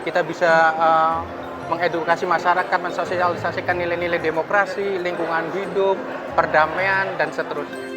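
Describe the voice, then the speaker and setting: A man with a notably high voice speaks in an unscripted interview. He hesitates as he lists his points. Music is clearly audible behind him. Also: level tone, moderate pace. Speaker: association chairman giving an interview.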